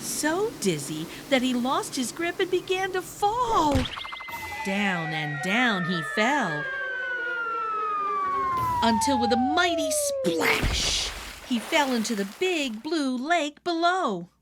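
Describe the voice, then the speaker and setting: A woman reading a children's story aloud with expressive, animated narration, addressing young listeners. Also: high voice, slow, very noisy.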